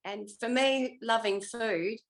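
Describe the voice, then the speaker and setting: A woman's voice: fairly high pitch, slow. An older woman, over sixty, chatting reflectively as a retired actress in an interview, thinking aloud and letting her sentences trail. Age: 60s or older. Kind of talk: reflective casual interview chat.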